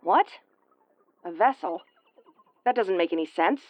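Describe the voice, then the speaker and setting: A woman's voice: fairly low pitch, lively intonation, unhurried, no echo, muffled recording. A woman playing a tough, prickly but secretly caring tsundere starship commander in an intimate audio roleplay, brusque and prone to stammering when flustered. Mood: indignant.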